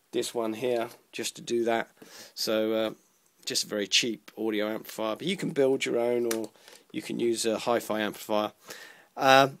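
A man presenting an informal electronics tutorial, explaining step by step in a halting way, pausing mid-sentence. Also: mid-range voice, vocal fry, fairly bright tone, dry recording.

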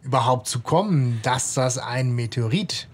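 A man's voice: medium pitch, expressive, measured pace, slightly rough. A man co-hosting a podcast, casually recapping and commenting on a story. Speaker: podcast co-host.

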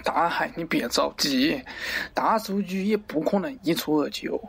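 A man's voice: a little high, a little slow, gravelly, a bit bright. Man acting pompous market boss, theatrical and lecturing. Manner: avuncular, lecturing, theatrical, abruptly swearing. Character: pompous middle-aged male wholesale market boss, crude yet poetry-loving. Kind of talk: amateur parody radio drama acting.

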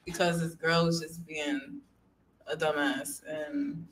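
A young woman chatting casually on a podcast, talking in a flat, monotone way with little energy. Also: sits low, slow pace, low-quality recording. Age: young adult. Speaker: blind date guest. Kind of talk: casual chat. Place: small podcast studio, indoors. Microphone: studio microphone held close.

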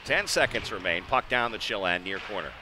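A man's voice: on the low side, animated, fairly quick. A man calling a live hockey game as a play-by-play radio announcer, in clipped running phrases. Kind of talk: live sports radio commentary. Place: ice hockey arena. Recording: noisy live ice arena ambience.

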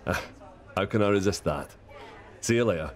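A man's voice: deep voice, lively intonation, normal speed, some chatter behind. An actor playing an easy-going, helpful village man in a radio soap, casual in manner.

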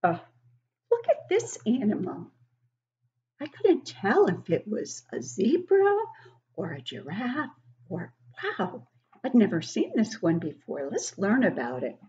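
A woman in her sixties reading a picture book aloud to young children, expressive and encouraging, stopping to put questions to them. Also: medium pitch, slow, highs cut off. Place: living room at home, indoors.

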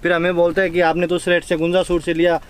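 High-voiced salesy shopkeeper man. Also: monotone, quick pace, clear, resonant tone. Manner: salesy, repeats prices and "see this". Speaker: wholesale lehenga shop owner. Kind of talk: sales pitch on a shop tour.

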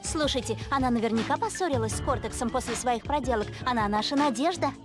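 A woman voicing a clever young heroine girl in a game dub, brisk and sensible. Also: on the high side, over loud music, natural voice.

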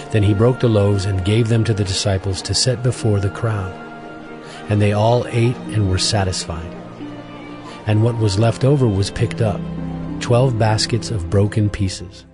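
A man with a fairly low voice reads scripted scripture narration. Music is clearly heard behind him.

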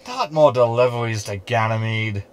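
A man's voice: mid-range voice, moderate pace, clean tone, lightly acted. A man playing a sarcastic, scheming hologram in a sci-fi comedy audio drama, wordy and mocking.

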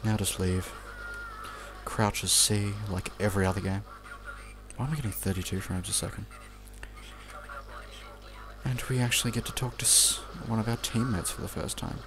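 A man's voice: lowish voice, steady tone, moderate pace, pauses often. A young Australian man giving casual, off-the-cuff video game commentary, rambling in an offhand mutter and swearing now and then. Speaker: let's play commentator.

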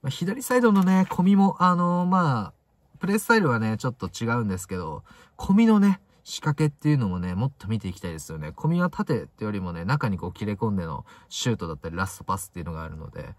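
Deep-voiced young man, animated pitch swings. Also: dry recording. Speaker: football fan vlogger. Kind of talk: casual solo football commentary. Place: inside a parked car.

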